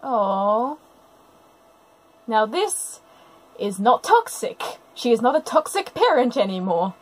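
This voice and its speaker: High-voiced woman gushing in reaction. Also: very animated, slow, low-quality recording. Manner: gushing, sentimental, often exclaiming. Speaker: reaction commentator. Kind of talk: casual live reaction commentary over a cartoon. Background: music from the cartoon being watched.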